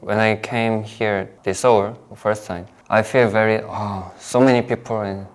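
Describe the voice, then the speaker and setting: A man interviewing in casual, halting English with simple phrasing. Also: lowish voice, fairly even intonation, smooth.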